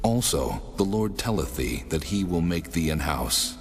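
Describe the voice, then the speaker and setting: A man voicing the Lord God in a dramatized scripture reading, solemn, measured and authoritative. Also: sits low, expressive. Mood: solemn, commanding. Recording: long cavernous reverb, music underneath. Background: music.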